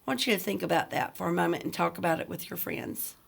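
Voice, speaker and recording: A woman's voice: on the low side, fast, creaky. A woman teacher reading a book aloud to students, speaking directly to them as if leading a discussion. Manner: addresses listeners directly with questions. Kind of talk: read-aloud lesson with discussion questions.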